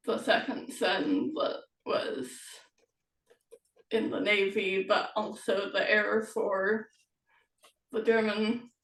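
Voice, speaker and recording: A woman chatting casually as a host and author, speaking in halting, broken phrases with pauses. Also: medium pitch, little pitch movement, a bit bright. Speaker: host, author of historical novels. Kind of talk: casual chat about writing. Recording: roomy echo, distant from the mic.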